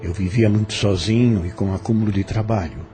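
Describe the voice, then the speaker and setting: An actor in an audio novel playing a courteous, reserved, educated farm overseer who speaks in a formal, measured, gallant way. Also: male voice, on the low side, music in the background, lightly acted. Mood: admiring.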